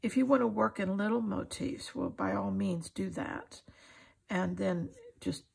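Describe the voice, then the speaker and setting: Gravelly, husky older woman. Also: mid-range voice, unhurried. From United States. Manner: unscripted, folksy, encouraging. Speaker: craft tutorial host. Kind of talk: informal hands-on craft demonstration talk. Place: indoors at a craft table.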